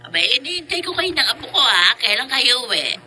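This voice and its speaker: Doting grandmother on the phone, bright and breathy. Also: a little high, big pitch swings, a little fast, heavily acted. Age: older. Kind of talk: radio drama acting. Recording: radio drama with music and noise.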